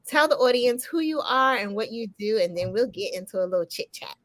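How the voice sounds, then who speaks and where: A woman's voice: a little high, lively intonation, brisk, fairly bright tone. A woman hosting a festival livestream over a video call, bubbly and enthusiastic. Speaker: festival host. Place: New York City.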